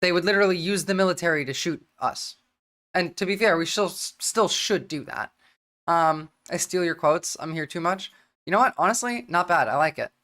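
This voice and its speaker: A woman's voice: on the low side, animated, normal speed, a bit bright. A young American woman with a mixed American accent, hosting a livestream, talking bluntly and profanely in casual rambling commentary. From United States. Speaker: livestream host.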